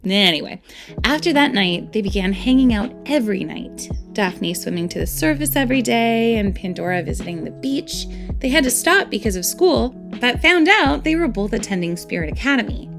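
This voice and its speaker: A woman acting a playful, nerdy, excitable fairy student with a dramatic storytelling manner in an amateur voiced roleplay. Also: on the high side, moderate pace, creaky, faint music behind. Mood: playful, chatty.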